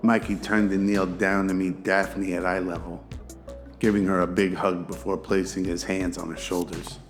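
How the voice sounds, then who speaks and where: A man's voice: deep voice, little pitch movement, moderate pace, music in the background. A man narrating a scripted audio drama.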